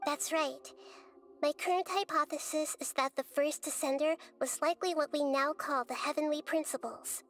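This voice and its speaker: Casting: childlike game goddess, high gentle voice, wise, serene and measured, light music underneath. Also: female voice, steady tone. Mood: explanatory, thoughtful. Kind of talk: video game voice acting.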